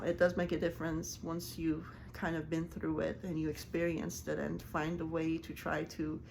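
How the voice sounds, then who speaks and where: A woman who grew up speaking Farsi, a life coach talking casually to camera in earnest, halting speech with many ums and restarts. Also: sits low, gravelly, slight background noise, poor audio.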